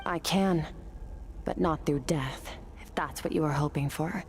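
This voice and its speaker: A woman's voice: low-pitched, unhurried, slight background noise. An actress playing a cool-headed, resolute swordswoman in a video game, with composed, formal and matter-of-fact delivery. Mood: cool, firm. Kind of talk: dramatic video game acting. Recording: game music behind the voice.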